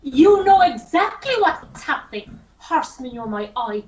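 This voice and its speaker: A woman plays an imperious, indignant horse owner in a radio play. Her very high, scornful voice comes from off the mic in an echoey room. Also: big pitch swings, a little slow, darkish tone, recorded from a distance. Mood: indignant, accusing. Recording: muffled, echoey, off-mic recording.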